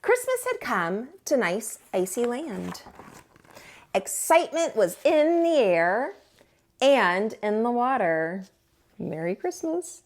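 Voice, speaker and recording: A woman narrating a children's storybook as a storytime teacher, in an expressive read-aloud style. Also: a little high.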